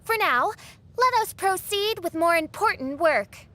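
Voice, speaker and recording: A woman's voice: very high voice, expressive, measured pace, sharp tone, heavily acted. A woman voicing a haughty young princess in an anime game, with pompous, archaic, theatrical declamation over music. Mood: lofty. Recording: music behind the voice.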